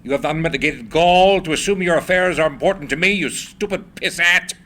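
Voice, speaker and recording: Man as forceful, imperious financier. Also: a little high, a little fast, lightly acted. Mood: angry, scornful. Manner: curt, haughty, emphatic. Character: imperious, scornful, rich male financier, hard-nosed businessman. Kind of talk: dramatic screenplay reading.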